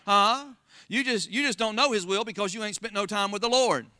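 A pastor with a Southern Florida accent preaching a sermon, folksy and calling out to the congregation for responses. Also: male voice, high-pitched, fairly quick, pushed and bright, dry recording, studio quality. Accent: Floridian Southern American. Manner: call-and-response, folksy, rising to emphatic exclamations.

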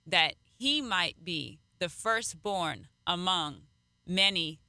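A radio co-host with a non-native English accent, reading scripture slowly and adding short affirmations. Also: mid-range voice, expressive, gravelly, sharp tone, dry recording, clean studio sound. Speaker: co-host pastor.

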